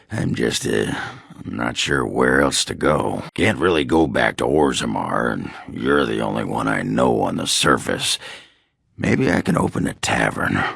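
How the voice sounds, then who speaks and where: A man playing a crude, boozy, belligerent dwarf warrior in a video game, with a raspy, guttural voice and a coarse, blustering, swaggering manner. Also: extremely low pitch, lively intonation, measured pace, a bit bright, no echo, studio quality, full character voice. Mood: dejected, resentful. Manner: coarse, blustering, swearing, slurred drunken swagger. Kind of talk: video game character acting.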